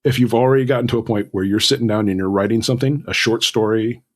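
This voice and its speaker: Casting: male podcast guest, low husky voice, thoughtful conversational delivery with stop-start phrasing. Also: fast talker. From Southern California, United States. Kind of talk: conversational interview advice.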